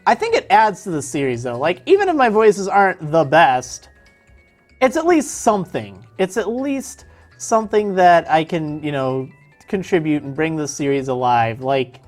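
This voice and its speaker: A woman's voice: sits low, sing-song, normal speed, soft background music. A woman streaming a video game in casual chat, answering viewers as she plays, her voice strained and tiring. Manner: chatty, answers viewer chat while playing.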